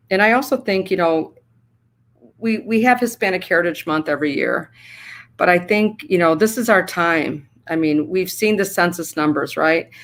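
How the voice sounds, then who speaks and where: Older woman on a video call panel, low voice, halting, lots of ums. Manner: earnest, halting, many fillers. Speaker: retired hospital administrator, panelist.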